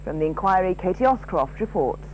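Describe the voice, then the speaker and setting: A woman's voice: fairly low pitch, expressive, a little fast, darkish tone, low-quality recording. A woman presenting regional news, reading a scripted bulletin in a TV studio. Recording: faint hum and noise.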